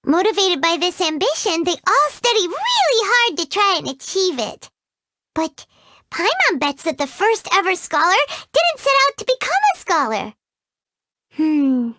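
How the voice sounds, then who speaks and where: A woman's voice: very high voice, big pitch swings, a little slow, sharp tone, no room sound, exaggerated voice. A woman voicing a tiny, greedy, chatty fairy companion who speaks of herself in the third person, in studio game voice acting. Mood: chirpy. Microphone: studio mic.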